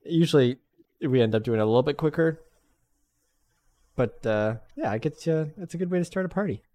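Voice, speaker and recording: A man's voice: fairly high pitch, lively intonation, a little fast, warm tone, no room sound. A young man co-hosting a podcast, telling laid-back anecdotes with chuckles in casual chat. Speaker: podcast co-host.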